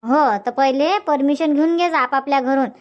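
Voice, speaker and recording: High-voiced bossy village auntie played by a woman. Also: moderate pace, dull audio, lightly acted. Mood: bossy, advising. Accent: Varhadi Marathi. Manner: chatty, insistent, repeats herself. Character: bossy, sociable village auntie organizing her friends. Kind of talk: cartoon comedy dubbing.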